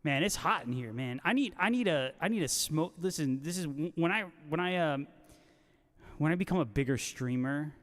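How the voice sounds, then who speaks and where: A man's voice: a little high, expressive, moderate pace, fairly bright tone. A man hosting a casual guitar livestream, reading out viewer comments and bantering with joking, slangy talk. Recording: long hall-like reverb on voice.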